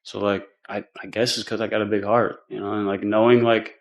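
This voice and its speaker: Flat-toned American man, informal defendant. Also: lowish voice. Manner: halting, colloquial, leans on "like" and "you know". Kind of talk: informal explaining of himself in court. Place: courtroom.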